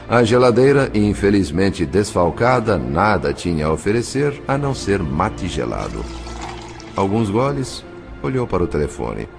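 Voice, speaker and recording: A Brazilian man with a very low voice narrates a scripted drama in literary style. His intonation swings widely and expressively, with clear music playing underneath. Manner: literary, measured dramatic storytelling.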